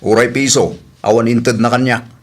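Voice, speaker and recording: A man's voice: mid-range voice, level tone, normal speed, lightly acted. Radio comedy drama: man plays protective elder brother, middle register, questioning, indignant, plain delivery. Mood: indignant, probing. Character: protective, indignant older brother of a young woman.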